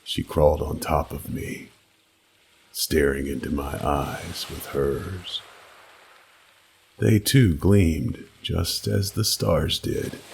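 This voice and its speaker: Male horror narrator: deep, heavily gravelly, slow and brooding, dramatic scripted read over faint ambient noise. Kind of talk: dramatic scripted first-person creepypasta narration.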